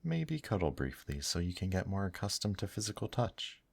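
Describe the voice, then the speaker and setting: A man playing a gentle, protective male demon in an intimate ASMR roleplay, speaking softly, tenderly and reassuringly to the listener. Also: low voice, sing-song, vocal fry, breathy edge, no echo, exaggerated voice. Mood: soothing, tender. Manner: soft, tender, reassuring, unhurried.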